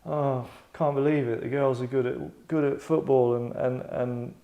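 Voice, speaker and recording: A man's voice: mid-range voice, fairly even intonation, moderate pace, narrow, tinny audio. A man hosting a table read, explaining things casually to a group in a sardonic, rambling, mock-dramatic way. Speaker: host directing an actors' table read. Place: London.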